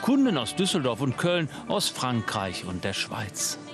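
Guy doing scripted tv report voiceover, average pitch, music bed pretty loud. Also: wildly swooping pitch, measured pace. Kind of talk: scripted narration.

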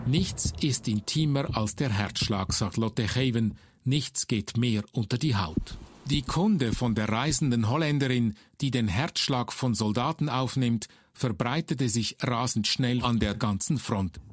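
A man's voice: medium pitch, unhurried, slightly rough. A man narrating an audio report in a scripted documentary style.

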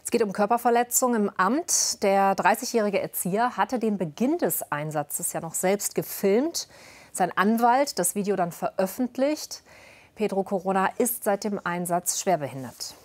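A woman reads regional news in a clear, conversational manner, speaking at a middle pitch. Her delivery loosens a little into everyday talk between items. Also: lively intonation, normal speed. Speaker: news presenter.

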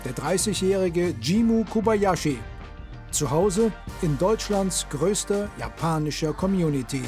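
A man reading a scripted TV report narration in a smooth, measured way. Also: sits high, expressive. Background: soft music.

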